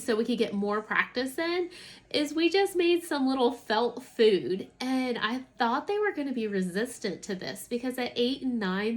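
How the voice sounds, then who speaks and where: A woman in her thirties giving a casual review as a vlogging mom, talking in a conversational, enthusiastic way. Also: on the high side. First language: English. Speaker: homeschooling mom reviewing a language curriculum.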